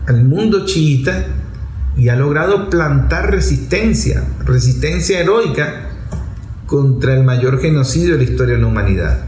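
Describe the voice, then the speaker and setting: A Venezuelan man with a somewhat high voice speaks as a political commentator. His delivery is an impassioned, emphatic monologue that leans on rhetorical repetition. The recording has a roomy echo with faint noise behind him. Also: lively intonation, moderate pace, darkish tone. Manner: emphatic, rhetorical, repeats phrases for effect.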